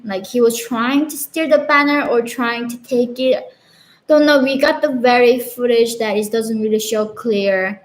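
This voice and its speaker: A woman's voice: mid-range voice, low-quality recording. A young woman from North Korea with a Korean accent, casually answering live chat questions as a channel host, earnest and full of "like" fillers. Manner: earnest, frequent "like" fillers, laughs between chat messages.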